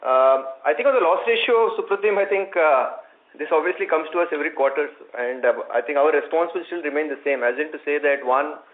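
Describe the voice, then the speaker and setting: A man with an Indian accent answers investor questions as a company executive, measured and repetitive, often hedging as he explains. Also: on the high side. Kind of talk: earnings call answers. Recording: muffled conference call line.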